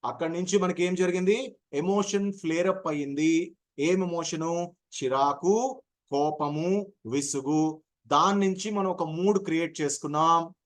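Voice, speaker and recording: A man's voice: a little high, a little slow. A man teaching informally as a voice trainer, explaining step by step and asking questions that he answers himself. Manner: explanatory, asks and answers own questions, repeats for emphasis. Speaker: voice modulation trainer. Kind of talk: informal teaching with acted everyday examples.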